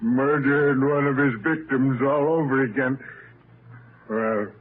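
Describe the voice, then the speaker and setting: A man's voice: mid-range voice, steady tone, takes it slowly, slightly rough. An actor playing a superstitious, folksy mountain man with a rural American accent in a 1930s radio drama. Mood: ominous, folksy. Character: rustic, superstitious mountain man living alone in the hills. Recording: scratchy, tinny 1939 radio broadcast.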